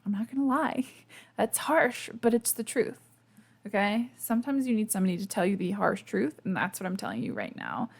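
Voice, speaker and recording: Gravelly-voiced woman losing her voice. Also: mid-range voice, expressive, moderate pace. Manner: chatty, self-deprecating, laughs often, lots of fillers. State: losing her voice, sleepy and hungover. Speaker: podcast host. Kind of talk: casual solo podcast chat. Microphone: podcast microphone.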